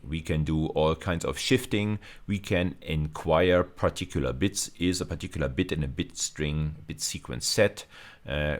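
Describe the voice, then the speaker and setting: A man's voice: very deep voice, creaky. A man giving an explanatory video lecture as a university lecturer, often repeating and rephrasing his phrases as he explains.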